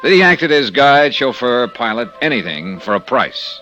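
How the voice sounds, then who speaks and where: Skeptical American sleuth, bright forceful voice, animated inflection, dry sarcasm, vintage radio detective style. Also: male voice, mid-range voice, normal speed, muffled recording, soft background music. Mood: measured narrating. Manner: dry, sardonic. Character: wry, skeptical, exasperated American insurance investigator. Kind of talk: old-time radio detective acting and narration.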